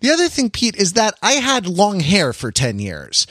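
A man in his forties co-hosting a podcast in casual analytical discussion, speaking in a halting, discursive way and often interrupting himself. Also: high-pitched, huge pitch swings, a bit bright, dry recording, clean studio sound. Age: 40s. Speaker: podcast co-host.

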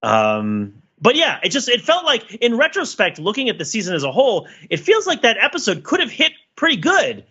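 A man's voice: high-pitched, wildly swooping pitch, a little fast, hardly any pauses, bright, forceful tone, husky, dull audio. A man in a casual analytical discussion, rambling emphatically, repeating words and asking rhetorical questions as a podcast panelist.

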